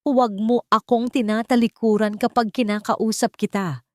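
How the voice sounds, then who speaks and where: A woman's voice: on the high side, steady tone, normal speed, no echo, pitched above the performer's own voice, close to an everyday voice. Woman acting out a drunk angry husband, paranoid and bossy, audio story, pretty dry sound. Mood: angry, accusing.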